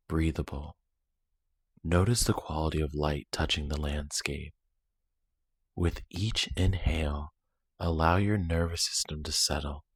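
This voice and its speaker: A man with an extremely deep, husky voice leads a guided meditation. He speaks in a soothing, deliberate way, leaving long pauses between short phrases. The recording is dry and close, with no room sound. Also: no room sound.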